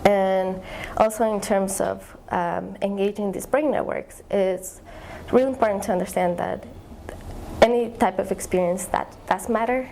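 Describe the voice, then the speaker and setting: A woman's voice: mid-range voice, fairly even intonation, measured pace, vocal fry, warm tone, slight background noise, poor audio. A young woman, a graduate student explaining her research in an interview, thoughtful and searching for words. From Ecuador. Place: eye tracking lab.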